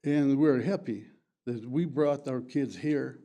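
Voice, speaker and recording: An older man from the former USSR, tongue Plattdeutsch, speaking English in halting public comment at a county board meeting, full of fillers and broken grammar. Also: medium pitch, dark tone. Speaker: resident giving public comment.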